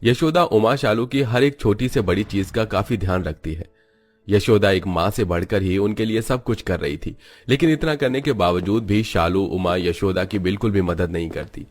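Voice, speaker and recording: Low-voiced male storyteller. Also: normal speed, a little mellow. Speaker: storyteller, narrator. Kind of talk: scripted story narration.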